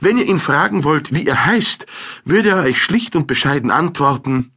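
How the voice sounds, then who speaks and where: A man with a husky voice reading literary narration in a measured storytelling manner. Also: a little high, expressive, pushed and bright.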